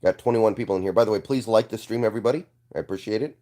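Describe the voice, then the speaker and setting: A man's voice: lowish voice, racing. Congested man chatting on a stream. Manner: relaxed, offhand, frequent throat clearing. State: congested and hoarse from allergies. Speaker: streamer hosting a live watchalong. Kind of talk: casual live-stream chat reading viewer comments.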